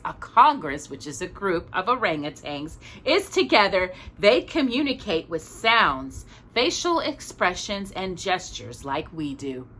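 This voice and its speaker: Bright, expressive woman reading a children's storybook. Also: medium pitch. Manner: expressive, chatty, stumbles and corrects herself. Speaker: storytime host reading a picture book. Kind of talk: read-aloud children's storytime with casual asides.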